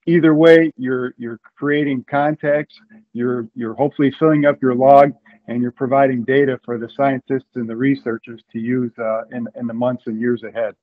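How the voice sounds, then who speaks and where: A man's voice: mid-range voice, fairly even intonation, moderate pace. A middle-aged American man explaining things casually as an interview guest, speaking from a room at home over a video call. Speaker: interview guest, amateur radio event coordinator. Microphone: video call with earbuds. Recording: narrow, phone-like video call audio.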